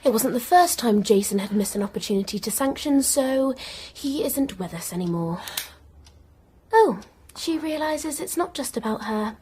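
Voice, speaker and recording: An actress playing a smug, coldly officious jobcentre manager in a radio drama, patronising and full of jargon. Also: female voice, fairly high pitch, lively intonation, normal speed, mellow, a little hiss or hum, natural voice.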